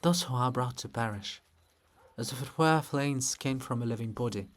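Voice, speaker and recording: A man's voice: medium pitch, moderate pace, natural voice. A man voicing a proud, brooding ancient princess statue in a dramatic play, declaiming in a lyrical, weighty way. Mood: solemn, mournful. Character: Princess Olha monument, ancient woman, solemn, brooding, proud.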